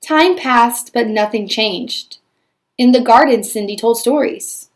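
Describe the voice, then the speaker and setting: A woman's voice: on the high side, takes it slowly. A woman reading as an annoyed, self-pitying, snobbish storybook stepmother, with a theatrical, put-upon manner. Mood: exasperated.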